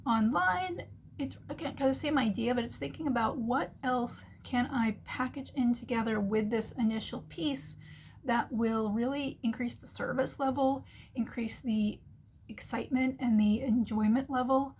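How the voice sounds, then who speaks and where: A woman's voice: a little high, moderate pace, darkish tone, a little hiss or hum. A woman giving casual, chatty explanatory business commentary as the host, acting out little imagined remarks as she goes. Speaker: host of a marketing commentary show.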